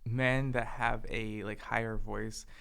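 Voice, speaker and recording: A young man co-hosting a casual chat, soft-spoken and hesitant, filling his talk with "like" and "um". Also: fairly low pitch, little pitch movement, slow, creaky, clear, resonant tone. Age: young adult. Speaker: podcast co-host.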